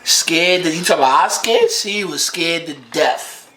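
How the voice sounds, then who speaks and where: A man's voice: on the high side, measured pace, sharp tone. An American man chatting casually as a co-host, with an emphatic, teasing way of talking. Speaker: co-host, boyfriend joining the story. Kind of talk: casual chat.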